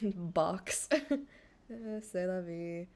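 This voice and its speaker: A woman's voice: lowish voice, fairly even intonation, very slow. A woman livestreaming a game, chatting casually in an offhand, playful way. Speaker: live game streamer. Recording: game music behind the voice.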